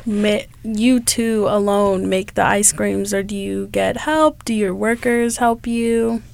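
A young woman interviewing shop owners, asking short questions and giving soft "okay"s. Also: medium pitch, slow, gravelly. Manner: brief prompts and soft acknowledgments.

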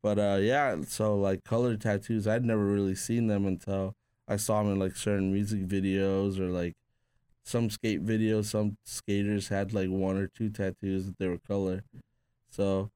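A man's voice: lowish voice, little pitch movement, moderate pace, dark tone, clear, resonant tone, no echo. A man born in Peru, a tattoo artist guest, casually telling rambling stories full of "like" and restarts. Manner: rambling, filler-heavy with frequent "like". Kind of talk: casual conversational storytelling.

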